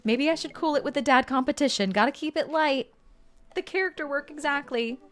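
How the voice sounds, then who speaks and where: A woman's voice: high voice, brisk. A young woman streaming a game, chatting casually and reading game text aloud in a bubbly way. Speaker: gaming streamer. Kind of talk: casual let's-play commentary.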